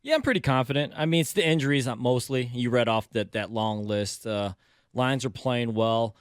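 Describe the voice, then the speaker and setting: Guy with a smooth clean voice on sports radio, dry mic, careful take with some ums. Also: medium pitch, expressive, normal speed, no room sound. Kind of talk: casual sports talk.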